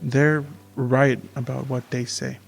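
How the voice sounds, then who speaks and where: Man playing a slow, gentle young cabbie. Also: mid-range voice, little pitch movement, creaky. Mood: gentle, reflective. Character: young male taxi driver, gentle, content, reassuring. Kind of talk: amateur radio theatre acting. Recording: faint music behind the voice.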